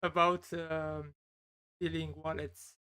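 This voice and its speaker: A woman's voice: sits low, little pitch movement, takes it slowly, fairly bright tone, smooth, no room sound. A woman curtly making demands of an AI assistant in short, blunt requests. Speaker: user prompting an AI.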